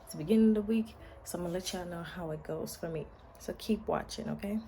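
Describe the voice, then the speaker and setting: An American woman vlogger chatting casually in a playful, sassy, slangy way, her voice slightly hoarse. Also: lowish voice, fast talker, heavy background noise. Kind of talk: casual chatty day-in-the-life vlog.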